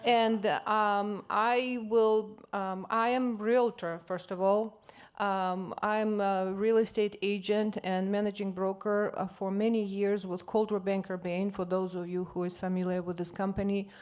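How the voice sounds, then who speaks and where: A woman's voice: medium pitch, level tone, a little slow, a little mellow, smooth. A woman panelist, a native Georgian speaker, introducing herself on a conference stage with a handheld microphone. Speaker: panelist, real estate broker. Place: conference stage before an audience.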